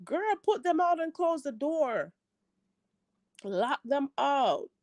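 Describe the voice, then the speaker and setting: A woman's voice: sits high, sing-song, slow pace, darkish tone, no room sound. A woman hosting a casual live chat, reading viewers' comments aloud. She is stuffy and a bit nasal, laughs often and talks bluntly. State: stuffy nose. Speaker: livestream host reading chat.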